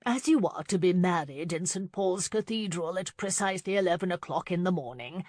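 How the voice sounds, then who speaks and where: A woman voicing an imperious, impatient elderly lady who briskly decrees things in an audiobook novel. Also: lowish voice, a little mellow, no room sound, studio quality, lightly acted. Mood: brisk, officious.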